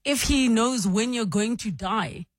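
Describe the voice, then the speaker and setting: Woman for a radio call-in host, brisk friendly moderator, dry studio mic. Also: on the high side, no echo.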